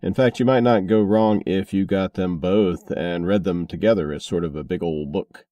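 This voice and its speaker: Man, podcast host, low dark voice, casual delivery, playful asides, dry sound. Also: normal speed, dry recording. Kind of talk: casual podcast hosting and book promotion.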